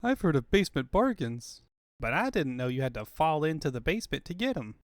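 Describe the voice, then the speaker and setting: A man's voice: on the high side, big pitch swings, brisk, no room sound, studio quality. A man voicing a sassy, folksy young Southern rabbit woman in a cartoon-style comic dub. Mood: wry. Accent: American South. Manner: folksy, drawling.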